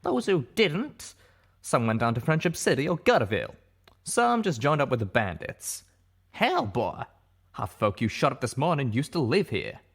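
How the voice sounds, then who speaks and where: A man performs an elderly male pony survivor in a storybook reading. He is blunt, grim and folksy. The voice sits high and pushes out louder than plain narration. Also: big pitch swings, moderate pace, creaky, slightly rough, full character voice. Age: older.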